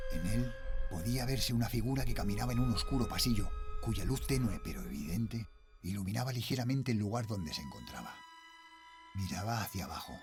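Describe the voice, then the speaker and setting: A middle-aged man with a Spanish accent from Spain playing an uneasy night watchman and father, narrating a horror tale in a suspenseful, brooding way. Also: fairly low pitch. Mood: frightened. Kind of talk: dramatic first-person horror narration.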